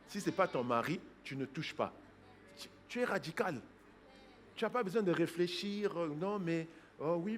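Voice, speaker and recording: A man preaching a sermon as pastor. He swings from hushed asides to loud exhortation, acts out people's excuses in quoted voices and breaks into praying in tongues. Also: a little high.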